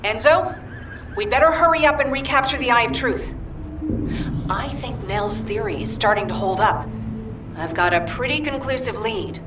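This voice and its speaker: A woman's voice: on the high side, lively intonation, moderate pace, natural voice. Resolute female agent for a game, played by a woman, businesslike, roomy echo, music underneath. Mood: urgent. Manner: businesslike, decisive. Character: resolute female combat agent, brisk and focused. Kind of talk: dramatic video game voice acting. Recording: distant, echoing, music behind.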